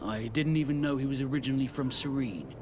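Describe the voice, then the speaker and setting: An actor playing a stern, proud sea captain in a video game, speaking in a terse, measured, deliberate way. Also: male voice, mid-range voice, expressive, music in the background, close to an everyday voice. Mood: proud, insistent. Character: stern, proud male sea captain, fiercely loyal to crew. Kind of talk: dramatic video game dialogue.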